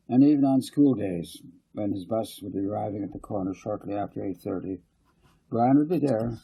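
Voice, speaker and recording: A man's voice: on the low side, creaky, dark tone, breathy edge, rough recording. A man reading a story aloud as a scripted audiobook narrator. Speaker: author reading own book as narrator.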